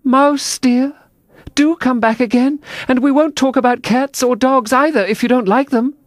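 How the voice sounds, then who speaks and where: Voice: medium pitch, sing-song, moderate pace, dry recording, clean studio sound, voice pushed up. A narrator voicing a polite, chatty little girl in a storybook, with a gushing, earnest manner. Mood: coaxing.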